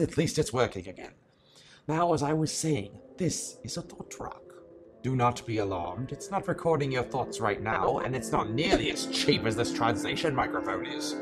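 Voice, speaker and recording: A man's voice: medium pitch, lightly acted. A man voicing an eccentric, kindly but secretive older alien in an amateur radio play, speaking in a theatrical, formal, rambling way.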